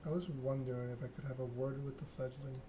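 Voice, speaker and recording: Male ASMR game reader, measured and hushed, very even intonation, noticeably noisy recording. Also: mid-range voice. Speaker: video game player reading the story aloud. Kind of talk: ASMR game read-along, narration with dialogue.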